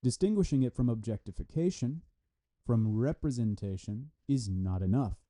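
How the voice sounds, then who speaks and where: A man reading a scholarly text aloud with deliberate, halting phrasing. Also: medium pitch, warm tone. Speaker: reader of a philosophy article. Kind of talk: read-aloud scholarly text with brief explanatory asides.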